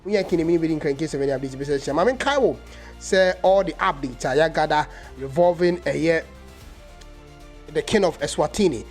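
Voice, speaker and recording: A man's voice: on the high side, lively intonation, moderate pace, soft background music. A Ghanaian man giving impassioned commentary in a vlog, mixing English and Twi. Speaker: vlogger.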